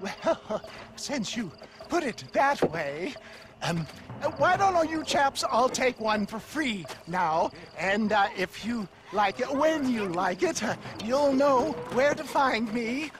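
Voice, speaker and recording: An actor playing a smooth-talking traveling tonic salesman in a game, with theatrical sales patter and blustering chuckles. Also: extremely high pitch, sing-song, takes it slowly, exaggerated voice. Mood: evasive. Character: smooth-talking male snake-oil huckster, showy and evasive. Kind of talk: video game cutscene voice acting.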